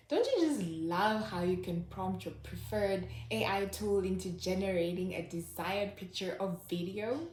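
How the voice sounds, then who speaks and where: A woman's voice: mid-range voice, pushed and bright. A woman from Zimbabwe, hosting a sardonic, scripted satirical commentary. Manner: sardonic, wry. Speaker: host. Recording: echoey room.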